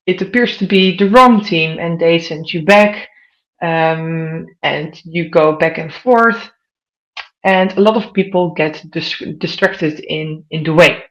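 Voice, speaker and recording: A woman's voice: fairly low pitch, rough recording. Dutch-accented woman presenting a talk. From Netherlands. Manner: addresses the audience directly with questions. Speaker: conference speaker. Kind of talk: online conference presentation.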